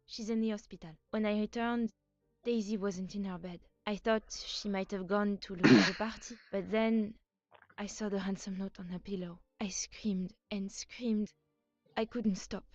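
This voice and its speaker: A woman's voice: medium pitch, fairly even intonation, moderate pace, darkish tone, muffled recording, soft background music, natural voice. A woman with a French accent playing an earnest, polite nanny in a video game. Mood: distressed. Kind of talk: scripted video game acting.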